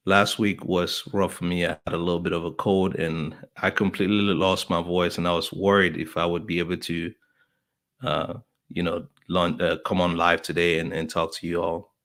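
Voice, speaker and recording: Male podcast host, a bit low-pitched, loose and chatty, lots of "uh" and "you know", calls out viewers by name. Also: fairly bright tone. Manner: chatty, friendly, lots of fillers. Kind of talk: casual live-stream welcome.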